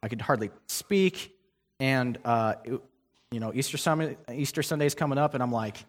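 A man's voice: medium pitch, moderate pace. Young man preaching in a reverberant church. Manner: conversational preaching with frequent fillers and restarts. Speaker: guest preacher. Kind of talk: sermon. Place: church sanctuary. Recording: long hall reverb.

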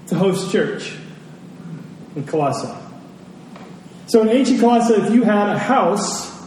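A man preaching a storytelling sermon to a congregation, deliberate, with dramatic pauses and emphasis. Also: high voice, dark tone. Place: large indoor church space. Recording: very echoey hall, distant mic, faint noise.

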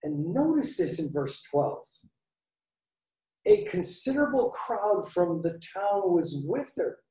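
A man with a Canadian accent preaching a sermon, using dramatic pauses and emphatic repetition. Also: high voice, animated, mellow. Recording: echoey room, distant mic.

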